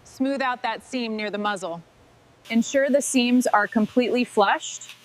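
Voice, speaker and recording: A woman leading a restoration crew, giving calm, clipped instructions in scripted workshop dialogue. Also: on the high side, a little slow, poor audio.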